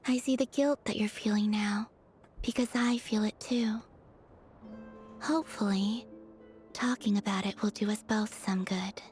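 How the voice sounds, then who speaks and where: An actress playing a gentle, frank and supportive young woman in a video game, with soft, earnest, reassuring delivery. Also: mid-range voice, steady tone, measured pace, long pauses, faint music behind, natural voice. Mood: tender, earnest. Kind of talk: video game drama acting.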